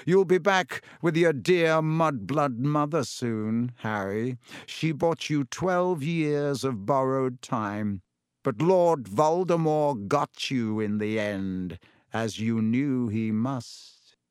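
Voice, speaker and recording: A man voicing a cold, gloating young dark wizard villain in an audiobook, taunting and menacing with drawn-out words. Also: mid-range voice, smooth, no echo, studio quality, lightly acted. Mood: gloating, unhurried.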